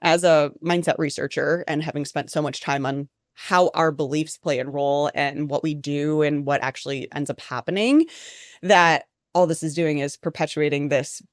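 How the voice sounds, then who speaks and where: A woman's voice: sits low, steady tone, moderate pace, no room sound, studio quality. A woman researcher talking as a podcast guest, explaining ideas in a chatty way with many fillers. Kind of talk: conversational podcast interview.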